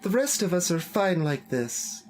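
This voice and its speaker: A man's voice: sits high, big pitch swings, unhurried, pitched above the performer's own voice. A man voicing a blunt, argumentative teenage schoolgirl while reading visual novel dialogue. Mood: blunt, insistent. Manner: earnest, argumentative. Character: blunt, assertive teenage schoolgirl in a literature club. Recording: game music behind the voice.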